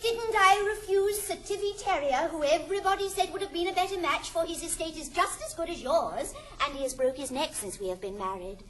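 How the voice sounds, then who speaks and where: An actress playing a flighty, teasing young wife in a classical stage comedy, arch and mocking. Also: female voice, very high voice, brisk, few pauses, a bit bright, a little hiss or hum. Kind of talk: 1960s recorded classical stage comedy acting.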